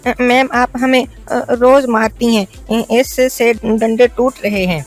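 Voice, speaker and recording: Timid cartoon schoolchild, voiced by a woman: high, slow, hesitant, very polite. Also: fairly even intonation. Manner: hesitant, deferential. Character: timid, polite village schoolchildren, earnest and put-upon. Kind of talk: Hindi cartoon story voice acting. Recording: music behind the voice.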